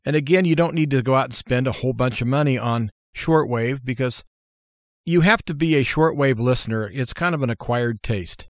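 An American man with a medium-pitched voice talks through practical advice as a show host. His delivery is informal and plainspoken, with a folksy, explanatory feel. The recording is very dry, with no room sound. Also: moderate pace, no room sound. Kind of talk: informal how-to monologue.

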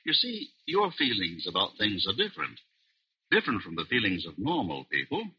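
An actor playing a husband in an old radio suspense drama, hesitant and evasive, with feigned concern for the young woman he quietly undermines. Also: lowish voice, wildly swooping pitch, fairly quick, slightly rough, exaggerated voice. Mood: insinuating. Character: soft-spoken, insinuating husband quietly undermining his young ward. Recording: muffled old radio recording.

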